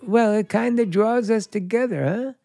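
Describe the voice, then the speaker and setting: An actor playing a sinister, coaxing bridegroom in an old-time suspense radio drama, with a folksy, drawling, insistent manner. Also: male voice, high voice, unhurried, mellow, soft background music. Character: sinister, coaxing New England bridegroom with secrets.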